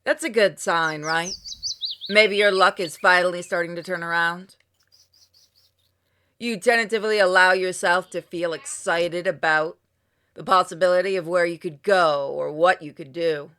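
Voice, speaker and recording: A woman reading a story game aloud in an expressive narration, acting out exclamations and asides playfully. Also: on the low side, moderate pace, clear, resonant tone. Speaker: gamer reading a visual novel aloud on a playthrough. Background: music with plucked guitar.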